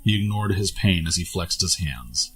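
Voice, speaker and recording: A man's voice: sits low, animated, normal speed, a bit bright. A man reading scripted fantasy story narration as the narrator of an audio tale.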